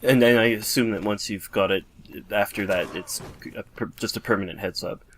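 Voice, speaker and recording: A man with a somewhat low voice chats along as co-commentator on a game. He sounds casual and thoughtful, probing how things work. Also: brisk, a bit bright, slight background noise. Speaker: let's play co-commentator.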